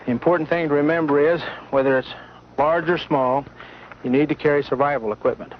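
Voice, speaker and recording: A man explaining flight safety as an expert, speaking unscripted in a measured, matter-of-fact way. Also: fairly high pitch, a little hiss or hum. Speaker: mountain flying safety expert. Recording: muffled 1970s film sound with music.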